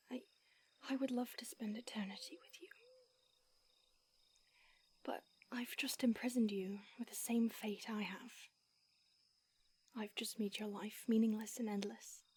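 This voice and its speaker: A woman voicing an ancient female vampire who mentors her fledgling, speaking in a hushed, breathy whisper with hesitant restarts. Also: fairly high pitch, steady tone, slow pace, pauses often, exaggerated voice. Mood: remorseful, tender. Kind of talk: audio roleplay.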